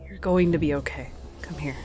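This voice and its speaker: A woman's voice: sits low, a little fast, mellow, natural voice. A woman playing a determined, protective starship captain under strain in a radio drama, speaking with urgency. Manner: urgent, resolute.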